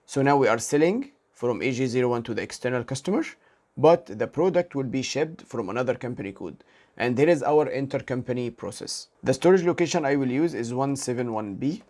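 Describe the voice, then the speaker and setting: A man instructor narrating a software demonstration step by step, explaining methodically and carefully spelling out codes. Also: medium pitch, lively intonation, brisk. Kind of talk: step-by-step screen demo narration.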